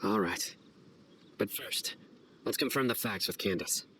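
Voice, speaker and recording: A man playing a stern, guarded young investigator in a video game, speaking in a formal, measured and deliberate way. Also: lowish voice, sharp tone, a little hiss or hum. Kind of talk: scripted video game dialogue.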